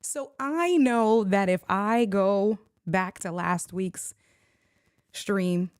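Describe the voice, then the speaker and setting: A woman's voice: mid-range voice, extremely slow, clear, resonant tone, no room sound, studio quality. A woman with a New York accent hosting a livestream, talking bluntly and animatedly, a little sick and coughing. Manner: animated, blunt, slips into Spanish. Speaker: livestream host. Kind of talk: casual chat.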